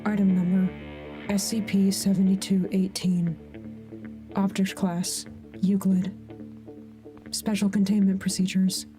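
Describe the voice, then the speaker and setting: A woman's voice: mid-range voice, flat delivery, a little slow, long pauses, mellow, faint music behind, natural voice. An actress playing a sober female researcher in an audio drama, formally reading out a clinical case file.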